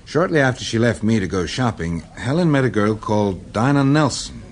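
Low-voiced, courteous bereaved husband. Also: male voice, lively intonation. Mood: earnest. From United States. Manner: courteous, measured. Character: polite, weary American husband mourning Richard. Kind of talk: radio drama acting. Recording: noisy radio drama recording with ambience.

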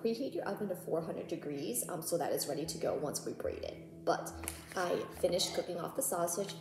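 A young woman in her twenties giving a relaxed, chatty cook-along talk to camera in her home kitchen, with frequent "um" and "go ahead". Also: lowish voice, a little fast. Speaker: cooking vlogger. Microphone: camera on a tripod across the counter. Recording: echoey kitchen with background music.

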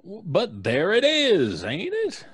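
Crotchety, husky, wildly inflected country doctor. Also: male voice, sits high, wildly swooping pitch, takes it slowly, a bit bright, dry recording, studio quality, heavily acted. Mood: exasperated unease. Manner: blustery, exasperated, folksy. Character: crotchety rattled small-town doctor, blustering, skeptical. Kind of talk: old-time radio drama acting. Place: home recording, live remote video call.

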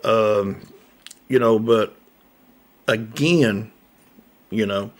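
A man's voice: lowish voice, very slow. A man, hosting a local sports talk show, rambling with lots of fillers and repeated words into a studio mic. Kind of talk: casual sports talk monologue. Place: small room set up as a coffee shop, indoors. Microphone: large studio mic on a boom arm.

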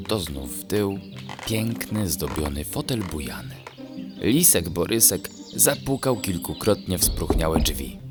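A man tells a children's bedtime story in a very low voice. His narration is gentle, measured and descriptive, with music playing clearly behind him.

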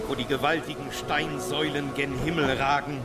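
Actor as highish-voiced, stately male travel writer. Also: flat delivery, moderate pace, over loud music, close to an everyday voice. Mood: reverent. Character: reverent, florid guest of the emperor writing travel memoirs. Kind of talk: literary travelogue reading in a docudrama.

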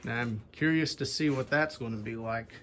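A man's voice: mid-range voice, normal speed, a bit bright, a little hiss or hum. A young man hosting a casual fan-collecting video, rambling offhand with many "anyway"s, filmed on a hand-held phone in a rafter-roofed attic. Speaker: ceiling fan collector channel host. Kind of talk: casual fan demo vlog. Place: attic or shed with exposed wooden rafters, indoors. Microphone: phone camera held by hand, pointed up at a fan.

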